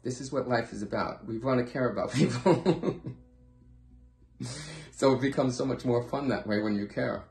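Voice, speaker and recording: A man giving an informal instructional sales pitch, speaking earnestly and emphatically, repeating his points and asking rhetorical questions. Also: normal speed, pauses often, a little mellow. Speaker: presenter training hair-loss clinic professionals. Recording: echoey, roomy sound.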